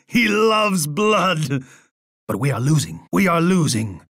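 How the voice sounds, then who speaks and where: An actor playing a proud, bloodthirsty and unhinged Aztec emperor in a video game, with a husky voice and a pompous manner. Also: male voice, fairly high pitch, very animated, slow, fairly bright tone, no echo, studio quality, exaggerated voice. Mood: erratic, despairing. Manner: pompous, speaks of himself in third person. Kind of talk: video game character voice lines.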